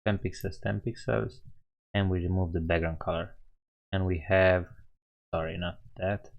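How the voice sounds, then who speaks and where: A man's voice: deep voice, flat delivery, moderate pace, vocal fry. A man with a Romanian accent hosting a live coding stream, thinking aloud and correcting himself as he types. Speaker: coding livestream host. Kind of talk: casual live coding narration.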